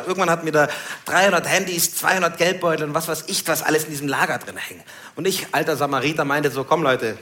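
A man's voice: on the high side, bright, forceful tone. A man telling a stand-up story on stage, acting out dialogue and laughing as he talks. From Germany, Munich area. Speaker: stand-up comedian. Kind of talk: stand-up storytelling to a live audience. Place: live comedy venue. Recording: roomy live stage with noise.

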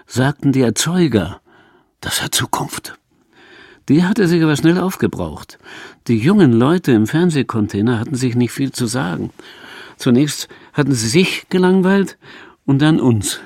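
A man narrating an audiobook in a dry, wry tone. His voice is gravelly and a little husky, moving lively through its pitch range, and the recording is close and dry. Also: no echo.

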